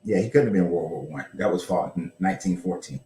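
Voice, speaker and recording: A man's voice: low-pitched, lively intonation, fast, warm tone. A man hosting a casual history discussion, talking loosely with some swearing and correcting himself as he goes. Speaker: show host. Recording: roomy echo.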